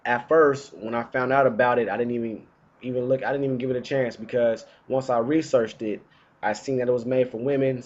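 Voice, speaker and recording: A man's voice: mid-range voice, a little mellow, dull audio. A man in his thirties recording a casual fragrance review vlog indoors while getting over a cold, chatty and slangy. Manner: laid-back, chatty, slangy. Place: indoors, small room.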